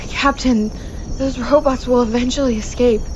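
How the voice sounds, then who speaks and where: Actress as sarcastic female mechanic, higher voice, dry delivery, sci-fi radio comedy, light background noise. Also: fairly even intonation, moderate pace, natural voice, low-quality recording. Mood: unimpressed. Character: dry, sarcastic female ship engineer, practical and unimpressed. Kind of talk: sci-fi sitcom audio drama acting.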